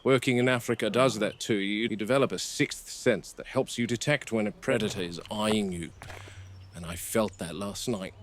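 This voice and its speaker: A seasoned game warden acted by a man logs what he observes in a low, measured voice. He is watchful and analytical, speaking in clipped field notes, like found-footage horror on a noisy old VHS tape. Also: lightly acted. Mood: curious, wary.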